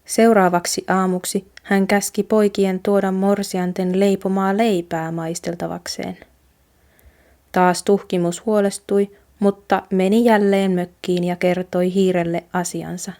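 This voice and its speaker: A woman's voice: mid-range voice, flat delivery, darkish tone. A woman from Finland narrating an old folk tale aloud from a storybook. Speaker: Finnish teacher reading aloud.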